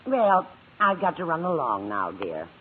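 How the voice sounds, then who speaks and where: A woman's voice: sits low, big pitch swings, unhurried. Kindly older lady, swooping tinny voice. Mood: kindly, chatty. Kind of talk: 1950s radio sitcom acting. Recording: tinny, hissy old radio broadcast.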